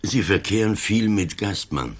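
An actor playing a wily, dry old police inspector with an ailing body and a husky voice, in a radio play. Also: male voice, lowish voice, animated, measured pace. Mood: coaxing. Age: older. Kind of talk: German radio play acting.

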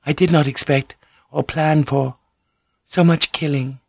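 Male narrator as noblewoman, raised pitch, serene, tender, fatalistic, formal, audiobook romance drama. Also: fairly even intonation, measured pace, creaky, dry recording, lo-fi sound. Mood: tender, regretful.

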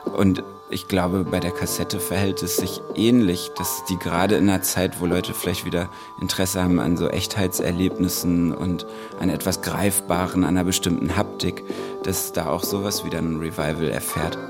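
A man's voice: deep voice, little pitch movement, normal speed, music in the background. A man, a writer and singer, talking reflectively in an interview and searching for his words.

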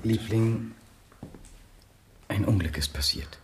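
A man playing a gentle, subdued village pastor in a radio play, mild and conciliatory, with a husky voice. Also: on the low side, slow pace, slight background noise. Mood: grave. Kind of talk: German radio play acting.

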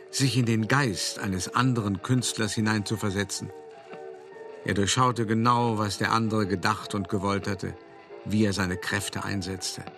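An actor playing a cultivated, self-justifying art dealer, narrating a radio play in a measured, articulate and confiding manner. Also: male voice, lowish voice. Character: cultivated, self-justifying male art dealer recounting his forgery scheme.

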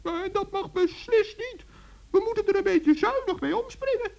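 Very high-pitched excitable little gnome. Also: male voice, moderate pace, gravelly, exaggerated voice. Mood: eager, excited. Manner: eager, stammering, gently scolding. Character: small, excitable old male forest gnome, earnest and curious. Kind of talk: children's radio play acting.

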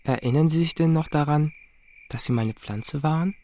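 A man's voice: fairly high pitch, normal speed, dark tone, lightly acted. A young actor playing an earnest, anxious adolescent boy in a radio drama, pleading and urgent. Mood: questioning.

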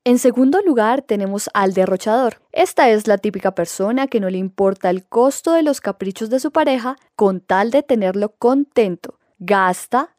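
Radio presenter, female, Colombian accent, scripted narration, medium pitch, close dry sound. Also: lively intonation, moderate pace, few pauses, a little mellow, no room sound.

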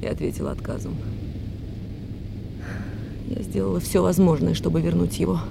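An actress playing a proud, weary, wealthy widow in a radio play, languid and dramatic in manner. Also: female voice, fairly low pitch, fairly even intonation, normal speed, creaky, background noise. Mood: wounded, bitter. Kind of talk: Russian radio play acting.

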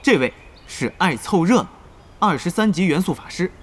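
An actor playing a polite, flattering male gamer in an audio drama. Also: sits high, normal speed, slight background noise. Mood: friendly, flattering.

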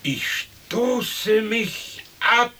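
Contrary scornful gentleman, sharp tone, theatrical drawl, radio play, distant old recording with faint clicks. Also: sits high, lively intonation, a little hiss or hum, full character voice. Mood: resigned, dreamy. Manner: sardonic, drawn-out theatrical delivery. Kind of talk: stereo radio play acting.

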